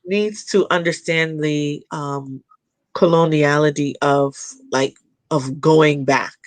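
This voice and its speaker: A woman guest in a casual podcast conversation, speaking in a thoughtful, halting way with frequent fillers and restarts. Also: deep voice, steady tone, dry recording.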